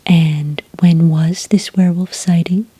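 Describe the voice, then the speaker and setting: A woman playing a calm, polite agent who takes sighting reports in a soft-spoken ASMR roleplay, breathy and whispery, with gentle, patient questioning. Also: on the low side, a little slow, dry recording. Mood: politely inquiring.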